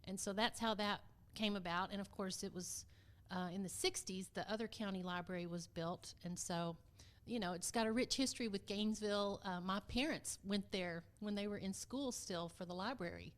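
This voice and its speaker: Middle-aged woman, medium-pitched, casual podcast guest. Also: normal speed. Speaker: podcast guest, community theater vice president of production. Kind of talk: casual conversational storytelling.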